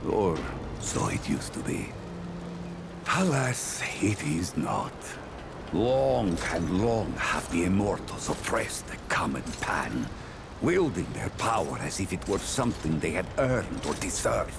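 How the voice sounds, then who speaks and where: A slow, gravelly, deep jungle sage. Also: male voice, very animated, noisy background, full character voice. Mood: solemn, lamenting. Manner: formal, archaic phrasing, slow storytelling. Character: male Pan trader from a jungle world, solemn and wise. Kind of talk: video game character monologue.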